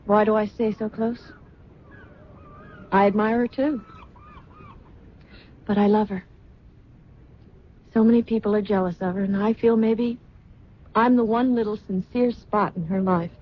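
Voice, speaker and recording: An actress playing an earnest, anxious twenty-year-old daughter in an old-time radio drama, sincere and restrained. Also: female voice, mid-range voice, fairly even intonation, a little slow, pauses often, lightly acted. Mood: earnest, worried. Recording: muffled, noisy vintage radio recording.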